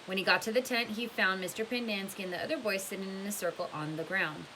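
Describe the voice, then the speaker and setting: A woman's voice: mid-range voice, fast. A woman narrating a storybook aloud, with hiss in the recording. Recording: clear background hiss.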